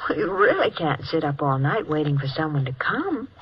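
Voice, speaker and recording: A woman's voice: low-pitched, very animated, moderate pace, slight background noise. An actress playing a sleuth's anxious female companion in a 1950s radio drama, earnest and questioning. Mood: hesitant, worried. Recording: muffled old radio recording.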